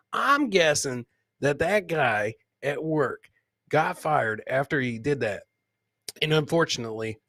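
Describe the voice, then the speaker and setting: A man's voice: a little high, a bit bright, dry recording, studio quality. A man hosting a live comedy show, commenting on clips casually and informally, repeating his lines like a showman. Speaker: podcast host.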